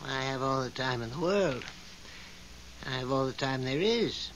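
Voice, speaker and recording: A man's voice: mid-range voice, animated, normal speed, fairly bright tone, close to an everyday voice, low-quality recording. A man playing an absent-minded, musing elder of the afterlife, vague and distracted, in 1970s radio drama style. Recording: hissy 1970s radio recording.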